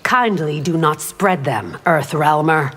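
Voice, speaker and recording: An actress playing a stern, dignified royal guard in a video game, speaking formally and curtly. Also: female voice, low voice, very noisy. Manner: formal, curt, measured. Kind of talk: video game character dialogue.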